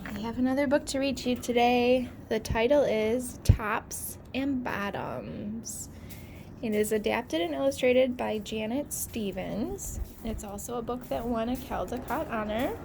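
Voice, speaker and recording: A woman teacher reading a storybook aloud to children, pausing to comment and ask questions. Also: fairly high pitch, vocal fry, darkish tone, a little hiss or hum.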